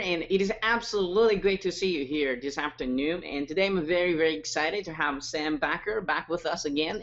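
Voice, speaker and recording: A man's voice: a little high, a bit bright, dull audio, a little hiss or hum. A man hosting an interview in an upbeat sales style, repeating himself and checking in often, with non-native English phrasing. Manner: repetitive, hype-heavy delivery with frequent check-in tags. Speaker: interview host and product promoter.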